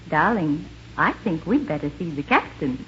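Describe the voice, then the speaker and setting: A woman's voice: low-pitched, very animated, unhurried, a bit bright, heavily acted. An actress playing a spirited, romantic young lady in a 1940s radio comedy. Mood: tender. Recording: muffled, hissy 1940s radio recording.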